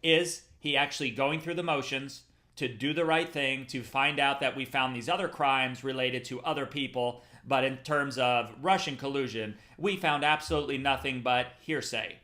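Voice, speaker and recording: A man's voice: mid-range voice, normal speed, pushed and bright. A man hosting a political talk show, earnest and asking pointed questions. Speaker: host.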